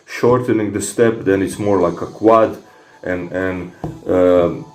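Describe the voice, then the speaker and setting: Slow, halting Croatian-accented man. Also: low voice, steady tone, darkish tone, reverberant. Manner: halting, many fillers and restarts. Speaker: fitness vlogger. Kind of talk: casual talk answering comments.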